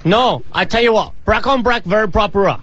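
A man's voice: sits high, brisk, a bit bright, muffled recording. A man in a radio prank call, voicing a stubborn, pushy male video shop owner with a put-on Chinese accent and broken English. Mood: indignant. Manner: broken English, indignant and bossy.

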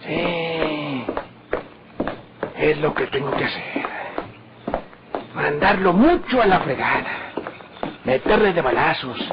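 Scheming fugitive, northern Mexican accent, grumbling to himself, highish voice, old radio serial, heavy noise. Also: male voice, animated, slow, long pauses. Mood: anxious, plotting. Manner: colloquial muttering, exclamatory, grumbling. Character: nervous, scheming rural outlaw on the run, muttering to himself. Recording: muffled, very noisy old radio recording.

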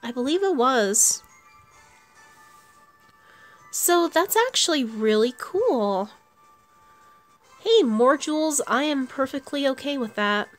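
Slow-paced, higher-voiced woman gamer. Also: lively intonation. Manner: thinks aloud while playing. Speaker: gamer commentating own gameplay. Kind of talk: casual gameplay commentary. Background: video game music.

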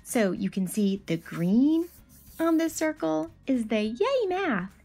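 Woman in her thirties, high voice, very lively intonation, unhurried, children's read-aloud host. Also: vocal fry, faint music behind. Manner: animated, addressing children with questions and asides. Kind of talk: expressive children's picture-book read-aloud.

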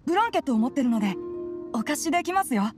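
Timid high-voiced polite boy. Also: sing-song, racing. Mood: flustered, polite. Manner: hesitant, apologetic, courteous. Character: timid, polite, eager-to-help young boy scout. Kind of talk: Japanese game voice acting. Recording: music playing behind the voice.

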